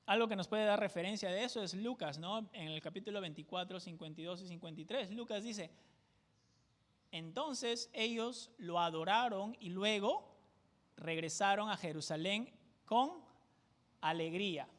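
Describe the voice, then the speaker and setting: A man's voice: high-pitched, moderate pace, a bit bright, in a large hall. A man from Peru with a Peruvian jungle accent, teaching a verse-by-verse Bible study in a conversational way and often checking in with his listeners. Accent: Peruvian jungle (selva) Spanish. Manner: conversational, keeps checking in with tag questions.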